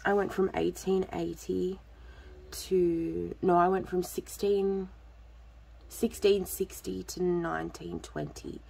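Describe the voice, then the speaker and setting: Congested woman with a gravelly voice. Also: lowish voice, flat delivery, takes it slowly, dark tone, poor audio. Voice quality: throat a bit off, gravelly. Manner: rambling, lots of ums and restarts. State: lingering cough and congestion after illness. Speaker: vlogger, budgeting channel host. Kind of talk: casual chatty vlog, talking to viewers.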